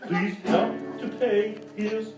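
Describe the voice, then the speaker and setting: A man's voice: sits high, steady tone, slow pace, far from the mic. Medicine-show troubadour acted by a man, folksy comic singing with plucked guitar, echoing live stage recording. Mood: cheerful pitch.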